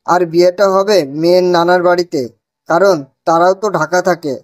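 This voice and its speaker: A man's voice: high voice, steady tone, normal speed, dry recording, studio quality, close to an everyday voice. A man playing a matter-of-fact, decisive middle-aged father in an audio love story.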